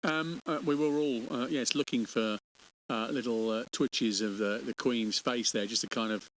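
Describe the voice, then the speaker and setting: A man's voice: medium pitch, fairly even intonation, normal speed, a little hiss or hum, low-quality recording. A man interviewing on radio about politics, challenging his guest with hesitant phrasing and tag questions.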